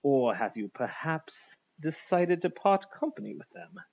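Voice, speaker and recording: A man's voice: a little high, sing-song, a little slow, gravelly, darkish tone, highs cut off, voice pushed up, exaggerated voice. A man voicing a suave, menacing aristocratic army captain in an audiobook, polite, formal and mocking. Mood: scornful, probing. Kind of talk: audiobook character voice.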